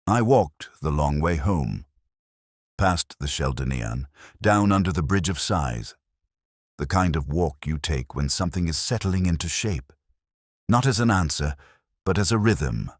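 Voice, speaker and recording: Man, very low pitch, expressive intonation, measured reflective storytelling, scripted detective narration, dry close sound. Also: no echo. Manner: measured, literary, reflective delivery.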